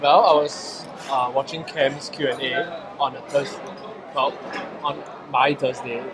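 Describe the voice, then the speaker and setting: A man's voice: medium pitch, fairly even intonation, a little slow, very noisy. A nineteen-year-old man with a Singaporean English accent, a poet answering interview questions casually and hesitantly, with many fillers and restarts. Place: food court, outdoors.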